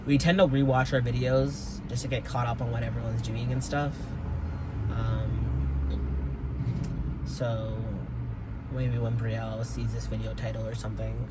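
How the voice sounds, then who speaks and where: A young man sits in his car and talks into his phone. He rambles and hesitates, fills his pauses with "um" and "like", and swears casually. Car noise hums clearly behind his mid-pitched voice. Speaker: vlogger. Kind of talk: rambling personal vlog. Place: inside a car.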